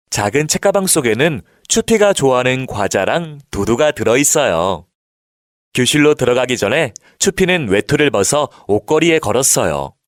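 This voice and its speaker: A man reads a children's storybook as narrator, with expressive, animated intonation made for young listeners. His voice sits at a middle pitch, recorded dry with no room sound. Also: no echo.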